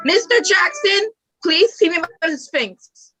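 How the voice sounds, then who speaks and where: Woman acting a slow, sharp, high-voiced menacing schoolteacher. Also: animated, faint music behind, heavily acted. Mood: ominous. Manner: slow, deliberate, accusatory. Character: menacing female substitute teacher, secretly a winged monster. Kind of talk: musical theatre table read.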